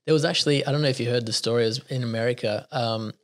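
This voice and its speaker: Chatty guest with middle-pitched voice. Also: male voice, fairly even intonation. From South Africa. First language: Italian. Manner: chatty, digressive, quick to laugh at himself. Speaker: podcast guest. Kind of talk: casual conversational storytelling.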